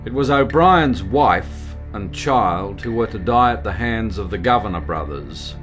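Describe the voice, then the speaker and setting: A man's voice: lowish voice, expressive, measured pace. A man narrating a scripted documentary radio play. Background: acoustic guitar music.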